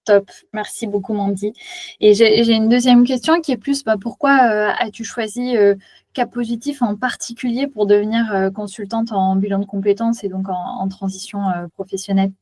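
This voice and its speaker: A woman's voice: mid-range voice, fairly even intonation, normal speed, a little mellow, dry recording. A woman interviewing a coach, asking friendly questions with plenty of fillers.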